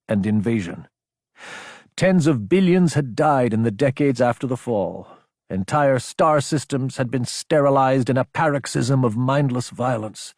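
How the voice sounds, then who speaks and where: Husky male audiobook narrator, close and dry. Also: mid-range voice, darkish tone, dry recording. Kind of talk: dramatic scripted fiction narration.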